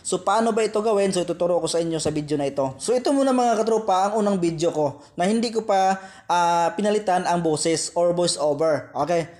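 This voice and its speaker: A Filipino man with a fairly high voice narrates an app tutorial. He talks straight to viewers as friends and repeats each step as he goes. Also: fairly quick, darkish tone. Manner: repetitive, addressing viewers directly as friends. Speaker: tutorial host.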